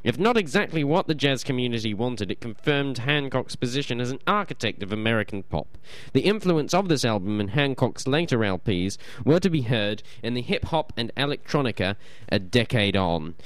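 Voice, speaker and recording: A man's voice: medium pitch, big pitch swings, moderate pace, few pauses, no echo. A man from New hosting a community radio show, chatting casually, rambling and reading notes aloud, often stumbling and repeating words.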